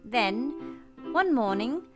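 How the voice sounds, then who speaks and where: Self-possessed romantic heroine, devoted, gentle, raised pitch, measured and earnest, radio drama, musical backing. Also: female voice, animated, music in the background. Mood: serene, reflective. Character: devoted, gentle, self-possessed young woman narrating her love story. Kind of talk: live radio play acting of period romance.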